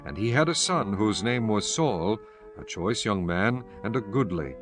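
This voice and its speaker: Low-pitched man narrating scripture over music. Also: lively intonation. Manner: measured, formal.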